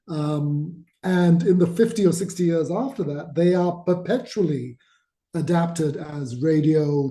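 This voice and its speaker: A middle-aged man from Malaysia speaks as a guest author, his tone deeply mellow and his voice sitting a little high. He tells his stories with real enthusiasm. The room around him is echoey. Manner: enthusiastic, storytelling. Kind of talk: conversational interview. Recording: roomy echo.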